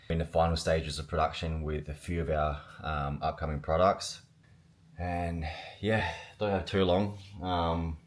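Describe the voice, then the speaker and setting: A young man from Australia narrating his own business vlog in a candid, upbeat, casual way, with the odd swear word. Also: low voice. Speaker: vlogger and business owner.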